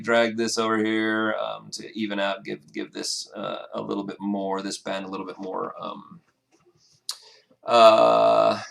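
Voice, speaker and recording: A man with a raspy voice casually walking through his song mix, talking in halting bursts with lots of fillers and restarts. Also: lowish voice, fairly bright tone. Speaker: music producer. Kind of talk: casual screen-recorded mixing walkthrough.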